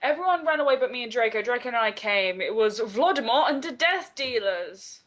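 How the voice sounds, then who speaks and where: A woman's voice: fairly high pitch, animated, brisk, fairly bright tone. A woman giving a dramatic reading of fan fiction and interrupting it with her own comments. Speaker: player in a dramatic reading game.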